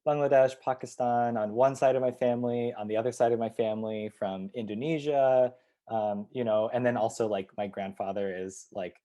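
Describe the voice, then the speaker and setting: Male guest, community organizer. Mellow, relaxed timbre, reflective and searching delivery. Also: mid-range voice, moderate pace. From United States, Ohio. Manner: reflective, thinks aloud with many fillers and restarts. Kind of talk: interview answers.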